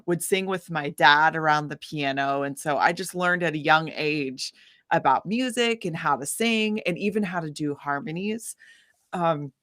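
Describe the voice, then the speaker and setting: A woman musician telling her story casually in an interview, with an animated, informal manner. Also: deep voice, no room sound, clean studio sound. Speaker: guest musician, singer-songwriter.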